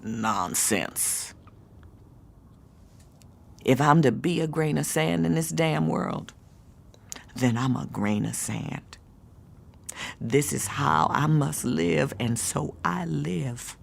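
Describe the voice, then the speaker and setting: A woman playing a hard, jaded, domineering middle-aged American aunt in an audio drama, scolding with forceful emphasis. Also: sits low, slow, vocal fry, pauses often, exaggerated voice. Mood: bitter, unyielding. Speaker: actress. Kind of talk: naturalistic audio drama acting.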